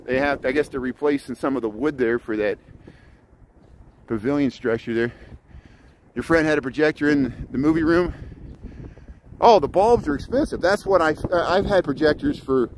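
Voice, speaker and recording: A middle-aged man from Indiana with a Midwestern American accent, chatting casually and haltingly as he livestreams a walk outdoors. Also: medium pitch, expressive. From Indiana, United States. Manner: halting, chatty, jumping between subjects. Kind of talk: casual rambling walk-along livestream chat. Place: outdoors, walking in a city park. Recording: noisy outdoor ambience with traffic.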